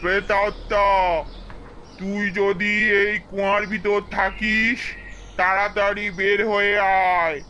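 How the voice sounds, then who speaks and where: A man's voice: high voice, little pitch movement, slow, heavily acted. A man voicing a commanding village holy man and exorcist in a horror cartoon, speaking with stern deliberation. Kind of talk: cartoon horror story acting. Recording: dull lo-fi sound, loud background noise.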